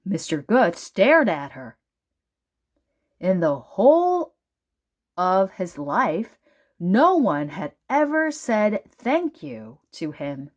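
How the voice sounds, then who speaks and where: Very slow, expressive woman reading a storybook. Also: medium pitch, highs cut off. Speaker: children's book reader. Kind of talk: storybook read-aloud narration.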